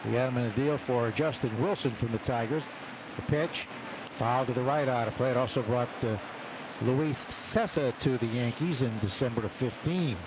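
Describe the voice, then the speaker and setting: Male baseball radio announcer over loud ballpark noise. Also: moderate pace. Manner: clipped phrases with stats between pitches. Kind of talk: live baseball radio play-by-play, with sponsor reads. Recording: noisy live outdoor radio broadcast. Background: loud ballpark ambience.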